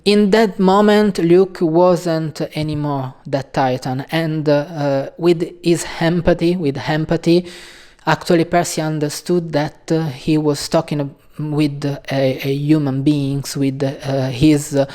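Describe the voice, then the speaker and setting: Italian-accented woman host, slow, halting casual delivery, warm dark tone. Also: deep voice, steady tone. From Italy. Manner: hesitant, halting, many pauses and fillers. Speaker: channel host. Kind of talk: casual video talk on a book.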